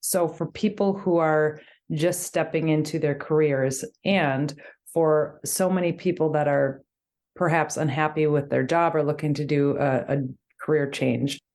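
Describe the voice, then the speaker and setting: A woman's voice: sits low, steady tone, unhurried, a little mellow. A woman hosting a podcast, asking questions in an encouraging, conversational way. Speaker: podcast host. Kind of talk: casual interview questions.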